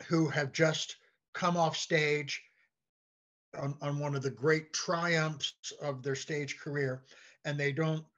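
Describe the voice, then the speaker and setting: An American man chatting casually as an interview guest, telling anecdotes in a halting way and often repeating words. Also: fairly high pitch, steady tone, fairly bright tone. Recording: dull, lo-fi call-like audio.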